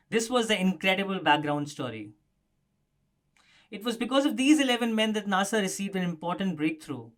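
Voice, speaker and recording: A man's voice: high voice, fairly bright tone. A man presenting a scripted explanatory news story as a narrator.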